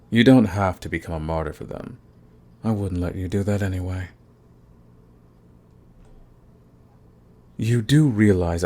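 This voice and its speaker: A man playing a cocky, menacing villain in an audio roleplay, taunting the listener with smug, unhurried threats. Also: low-pitched, creaky. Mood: calm threatening. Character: cocky, menacing male villain, coolly fond of his enemy. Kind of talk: ASMR roleplay acting, addressing the listener.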